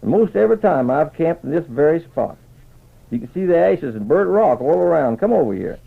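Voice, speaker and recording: A man's voice: fairly high pitch, very animated, a little fast, creaky, husky, pitched above the performer's own voice, heavily acted. A man voicing an old trapper and scout who talks in folksy cowboy phrases, in western radio storytelling. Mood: proud, hearty. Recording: muffled old radio transcription.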